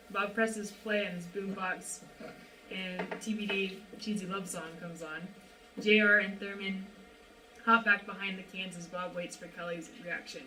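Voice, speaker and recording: A woman narrates a script at a table read in a bright voice. She is recorded some way from the mic, in an echoey room with a faint wash of noise. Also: fairly low pitch. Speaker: narrator reading stage directions. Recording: roomy, distant mic, clearly noisy.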